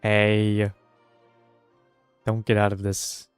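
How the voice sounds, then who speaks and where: A man's voice: on the low side, completely flat delivery, extremely slow, clear, resonant tone, no room sound. A man giving offhand, slangy commentary while playing a game, often muttering to himself. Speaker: game streamer. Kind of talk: casual gameplay commentary. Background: game music.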